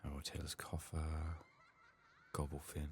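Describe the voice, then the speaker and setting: A man quietly narrating a game playthrough in soft-spoken ASMR style, murmuring to himself and often dropping toward a whisper. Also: extremely low pitch, monotone, a little slow, slight background noise. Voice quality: soft, hushed, breathy in whispers. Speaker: gaming streamer.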